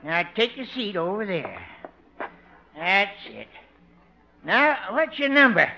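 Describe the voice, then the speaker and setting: An actor playing a doddering, teasing old rustic clerk, dithering and cackling, in 1950s radio comedy style. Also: male voice, high-pitched, huge pitch swings, slow, gravelly, sharp tone, full character voice. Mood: officious, dithering. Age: elderly. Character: doddering, teasing old small-town marshal's father, slow. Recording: muffled, noisy old radio with audience.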